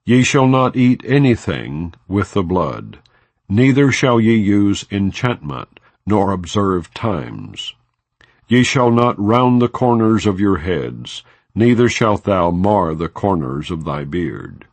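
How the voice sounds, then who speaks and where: A man narrating scripture as a non-dramatized audiobook, reading in a solemn, measured and formal manner. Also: fairly low pitch, very animated, dry recording.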